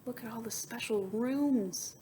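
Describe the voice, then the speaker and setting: A woman reads a children's picture book at a slow, unhurried pace, as a teacher does for students. Her delivery is expressive, with emphatic story lines and softer asides. There is noticeable background noise in the recording. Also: a little high. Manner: expressive, switches between emphatic reading and soft, wondering asides. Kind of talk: children's read-aloud with asides and questions to students.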